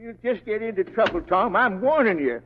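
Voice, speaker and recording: An actor playing a fretful, boozy sheriff in a western radio drama, grumbling and folksy with comic exasperation. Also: male voice, sits high, lively intonation, moderate pace, slight background noise. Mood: grumbling, resigned. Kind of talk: 1940s radio acting. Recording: dull old radio broadcast recording.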